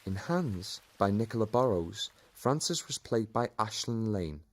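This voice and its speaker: A man's voice: lowish voice, lively intonation, a little slow. A man reading scripted closing credits for an audio play as its announcer.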